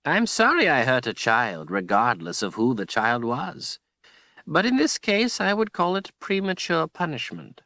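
A man's voice: medium pitch, sing-song, a little slow, sharp tone, no room sound, pitched above the performer's own voice, heavily acted. A man voicing an old male wizard who speaks in a stiff, dignified way. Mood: defiant.

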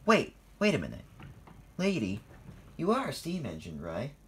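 A storyteller voicing Thomas as an excitable, eager young male tank engine who exclaims a lot. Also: mid-range voice, moderate pace, slight background noise, voice pushed up. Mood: curious. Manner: exclaiming, stuttering.

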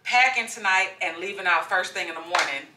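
A woman's voice: on the low side, lively intonation, brisk, background noise. A young adult woman, chatting casually and gushingly to the camera in a travel vlog. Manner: gushing, chatty, addresses viewers as y'all. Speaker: travel vlogger.

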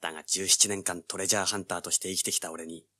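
An actor playing a self-assured, hard-boiled teenage treasure hunter, narrating and speaking in an audio drama. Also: male voice, sits low, fairly even intonation, quick pace, bright, forceful tone, exaggerated voice. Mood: intrigued, narrating. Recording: music behind the voice. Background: background music.

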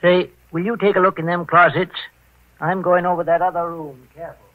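An actor playing a folksy, homespun detective's sidekick in 1940s radio style, on an old recording. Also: male voice, high-pitched, little pitch movement, brisk, phone-quality sound, a little hiss or hum, natural voice. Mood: easygoing, folksy. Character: folksy, plain-spoken male sidekick to a detective. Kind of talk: 1940s radio character acting.

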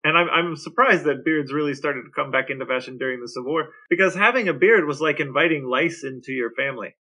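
An American man co-hosting a podcast, bantering casually with a dry, deadpan delivery. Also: on the high side, fast talker. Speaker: podcast co-host.

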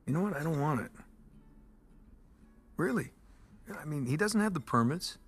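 An American actor playing a restless, wisecracking adventurer husband in his thirties, bantering and halting in a naturalistic game cutscene. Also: on the high side, expressive, husky. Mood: firm, defensive.

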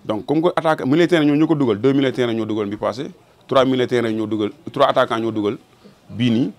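A man's voice: mid-range voice, very fast, a little mellow. A young man, a football fan, explaining earnestly in a small shop into a handheld mic. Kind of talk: street interview.

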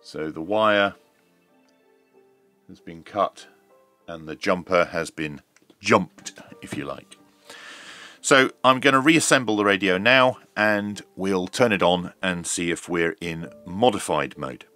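A middle-aged man giving a measured, explanatory hands-on demonstration, like a reviewer talking through each step. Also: sits low, pushed and bright, soft background music. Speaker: radio reviewer.

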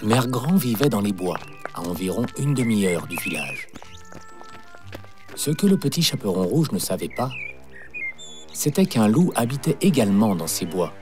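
A man narrating a scripted fairy tale for children in an expressive storytelling manner. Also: on the low side, moderate pace, long pauses. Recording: music playing behind. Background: background music.

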